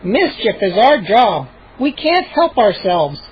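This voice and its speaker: An audiobook reader voicing a small male mischief gnome who talks softly and stretches his words out, on a muffled recording. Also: mid-range voice, sing-song, slight background noise. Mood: explaining. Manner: soft, drawn-out, explanatory. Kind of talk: audiobook character voice.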